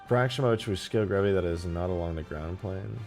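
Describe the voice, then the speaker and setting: Deep-voiced man streaming while modding. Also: fast talker, creaky, soft background music. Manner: thinks aloud, reads chat, bursts into loud laughter. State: losing his voice, slightly hoarse. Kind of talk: casual live-stream commentary.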